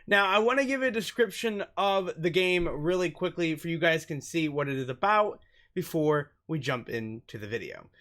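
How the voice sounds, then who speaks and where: A man's voice: a little high, lively intonation, moderate pace, fairly bright tone. A man doing let's play commentary and reading game text aloud, sometimes stumbling over the words. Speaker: gaming YouTuber. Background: background music.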